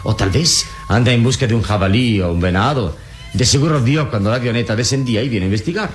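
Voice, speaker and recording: An actor playing a calm, wise and fearless adventurer hero in a radio serial, speaking in a measured, reassuring, paternal way. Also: male voice, medium pitch, natural voice. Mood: calm, explanatory. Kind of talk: Latin American radio serial acting. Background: dramatic background music.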